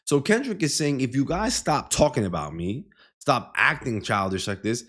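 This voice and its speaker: Male commentary host, middle register, highly animated pitch movement, emphatic and opinionated explainer delivery. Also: moderate pace. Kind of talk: explainer commentary breaking down rap lyrics.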